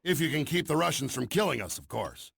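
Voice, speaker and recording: Creaky, wry miner. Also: male voice, on the high side, sing-song, a little fast, a bit bright, a little hiss or hum, heavily acted. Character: wry miner volunteering for dangerous work. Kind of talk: video game cutscene acting.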